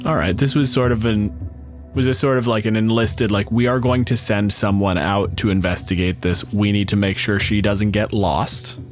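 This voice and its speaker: Male game master, lowish voice, casual and conversational tabletop narration, swears freely, soft music bed. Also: fairly even intonation, moderate pace.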